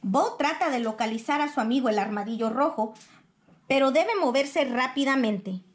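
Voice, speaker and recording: A woman reading a children's picture book aloud in expressive storybook narration. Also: sits high, normal speed.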